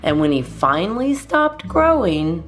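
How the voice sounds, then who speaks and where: A woman reading a children's storybook aloud as a storytime host, expressively and in measured phrases with deliberate pauses. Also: fairly low pitch, sing-song.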